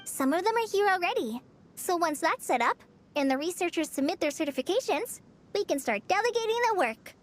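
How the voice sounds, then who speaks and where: A woman's voice: very high voice, animated, fairly quick. A woman voicing an earnest young scout in a video game, polite, businesslike and reassuring. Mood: diligent, reassuring. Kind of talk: video game character dialogue. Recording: music under the voice.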